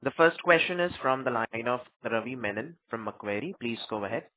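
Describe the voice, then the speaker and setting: A man's voice: mid-range voice, expressive, a little fast, no room sound. A man working as a conference operator, reading scripted announcements in a formal, procedural way. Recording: narrow tinny telephone line.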